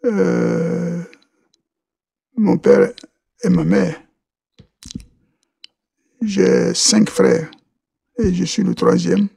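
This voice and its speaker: A man from Côte d'Ivoire, a podcast guest answering interview questions, halting and often repeating words as he restarts. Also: high-pitched, steady tone, gravelly, mellow, slightly rough, no echo, clean studio sound.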